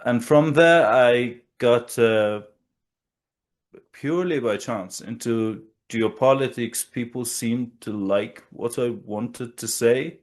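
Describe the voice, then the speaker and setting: A man from Iran giving geopolitical analysis as an interview guest, speaking deliberately with halting pauses. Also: mid-range voice.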